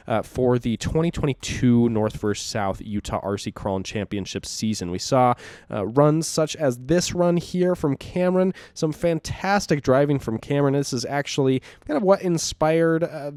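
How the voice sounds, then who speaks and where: A man with a scratchy, nasal voice left over from a cold narrates footage in easygoing fashion. He talks at a middling pitch, drifts between thoughts, and fills gaps with uhs and restarts. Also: warm tone, dry recording. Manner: rambling, lots of uh fillers and self-corrections. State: hoarse and nasal from a recent cold. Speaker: channel host and RC crawling commentator. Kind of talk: casual video commentary over competition footage.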